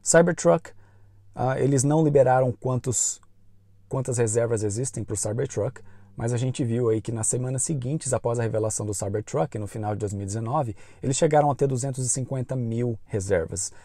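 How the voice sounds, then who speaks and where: A man with a Brazilian Portuguese accent, hosting a channel and giving informative, measured news commentary in an explanatory way. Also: mid-range voice, warm tone, no room sound. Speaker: YouTube channel host.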